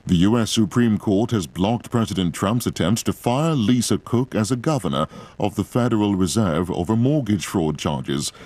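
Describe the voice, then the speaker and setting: Lower-voiced male newsreader, formal. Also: animated, a little mellow. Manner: measured, formal. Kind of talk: news bulletin reading.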